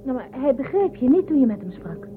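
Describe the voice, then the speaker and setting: A high-pitched young woman traveller in space, observant and full of wonder. Her lines are short, in radio play style. The audio is phone-like, with clear noise behind. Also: big pitch swings, brisk. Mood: uneasy. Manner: short, observant exclamations and questions.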